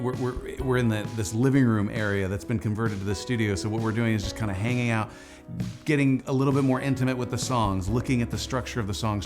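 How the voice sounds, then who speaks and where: Male musician narrator, lower register, informal and enthusiastic conversational storytelling, music underneath. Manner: enthusiastic, informal, peppered with "like" and "dude". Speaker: musician narrating the making of his record; Anglican priest.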